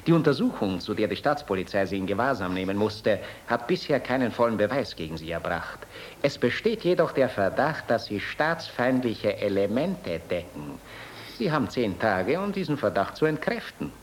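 Kalter, drohender Gestapo-Beamter. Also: male voice, on the low side, sing-song, moderate pace. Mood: cold, threatening. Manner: clipped, officious, coldly formal. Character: cold, menacing Nazi secret police official. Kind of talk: German radio drama acting. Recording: clearly noisy radio drama recording.

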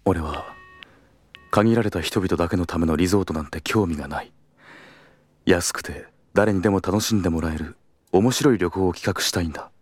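A man's voice: low voice, a little fast, no echo. An actor playing an earnest, principled travel agency employee in a Japanese audio drama, formal and deferential with others and blunt in his own thoughts. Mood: earnest, reflective. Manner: formal and deferential aloud, blunt in inner monologue.

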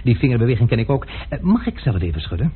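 An actor playing a worldly nobleman and swordsman who speaks in an ironic, lordly, amused way with a husky voice, heard on a tinny old radio recording. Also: mid-range voice, very animated, fast, a little hiss or hum, lightly acted.

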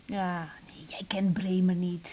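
An actress playing a tired, caring young woman doctor in a naturalistic radio drama, speaking haltingly and sometimes murmuring. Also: on the low side, little pitch movement, dark tone. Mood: tired, low, musing. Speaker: general practitioner in a radio drama. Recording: clear tape hiss on radio recording.

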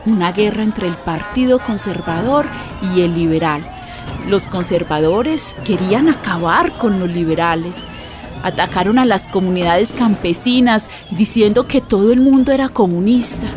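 A woman's voice: mid-range voice, expressive, normal speed, music in the background, natural voice. A woman playing an earthy, impassioned storyteller with a Colombian accent, narrating a radio drama expressively and speaking directly to listeners. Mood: grave.

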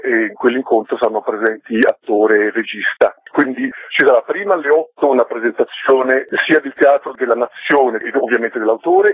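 Muffled, slightly husky man being interviewed. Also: mid-range voice, no echo. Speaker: interview guest, theatre festival curator. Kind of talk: explanatory interview talk.

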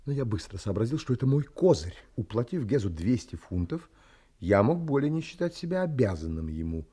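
Earnest, animated young gentleman, husky. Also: mid-range voice, a little fast. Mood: reflective narration. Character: earnest, determined young gentleman seeking sea passage. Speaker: actor narrating and playing the lead. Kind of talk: dramatised audiobook acting.

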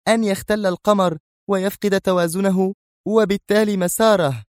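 A man voicing a stern, short-tempered female commander in an audiobook, speaking in a sharp, strained, commanding way. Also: sits high, brisk, dry recording, clean studio sound, pitched above the performer's own voice, lightly acted. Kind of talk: audiobook character voice.

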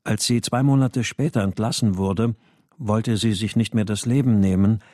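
An actor playing a melancholy husband and writer who narrates a radio crime drama in a restrained, introspective way. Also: male voice, lowish voice, steady tone, moderate pace, mellow, no echo, studio quality, lightly acted. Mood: restrained, brooding. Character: melancholy husband and writer narrating his missing daughter's story.

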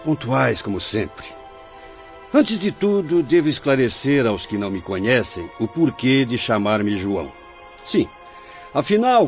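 Man playing a benevolent old sage, expressive Brazilian voice. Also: medium pitch. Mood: warm greeting. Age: older. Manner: measured, benevolent, didactic. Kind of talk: Brazilian radio drama acting. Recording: muffled audio with music.

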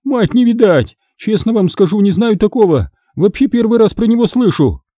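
A man's voice: high-pitched, big pitch swings, normal speed, mellow, no echo, clean studio sound, pitched above the performer's own voice. A frightened older woman from a crime audiobook, acted out by a man, in a sharply raised voice. She wails and wheedles, flustered and coarse, with swooping intonation. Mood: flustered, submissive. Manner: wailing, pleading, flustered.

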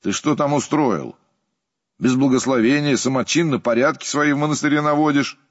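A man's voice: medium pitch, normal speed, muffled recording, voice pushed up, close to an everyday voice. A man doing a scolding, domineering abbot. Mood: angry. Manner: reproachful, scolding.

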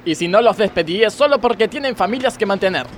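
Man playing a high-voiced, excitable factory boss. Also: fast, slight background noise. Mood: exasperated. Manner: incredulous, scolding. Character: exasperated, suspicious male factory boss. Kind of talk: comedy radio sketch acting.

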